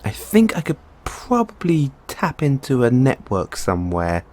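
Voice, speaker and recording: Man playing an excitable mad scientist, wildly swooping pitch. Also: a little slow. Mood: eager. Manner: theatrical, geeky, hesitant musing between outbursts. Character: excitable eccentric mad scientist boyfriend with time machine. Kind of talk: audio roleplay acting, addressed to listener.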